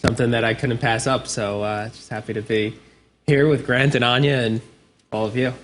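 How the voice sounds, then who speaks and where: Young male reporter from the New York area, soft-spoken, hesitant. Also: medium pitch, steady tone, moderate pace, over music. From New York City area. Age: young adult. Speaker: sports reporter. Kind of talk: casual live studio interview.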